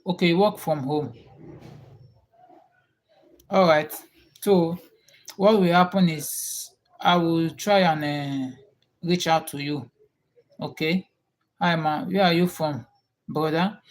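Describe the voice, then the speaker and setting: A man's voice: a little high, steady tone, takes it slowly, pauses often. A man from Nigeria with a Nigerian accent, hosting a casual livestream chat and repeating his questions to viewers. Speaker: livestream host.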